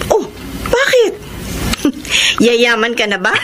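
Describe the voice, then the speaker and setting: A friendly, inquisitive Filipino storekeeper in a radio soap. Her voice is somewhat high. Clear ambient noise sits behind it. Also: sing-song, takes it slowly. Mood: friendly, curious. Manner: casual, inquisitive. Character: friendly, teasing female neighbourhood storekeeper. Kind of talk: Filipino radio drama acting. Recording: noisy radio drama with background ambience.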